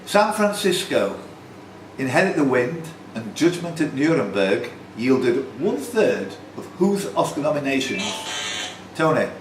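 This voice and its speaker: A man's voice: medium pitch, very animated, unhurried. A man hosting a pub quiz, reading out questions and scores informally, with chatty asides. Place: indoor pub. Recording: roomy pub recording with background noise.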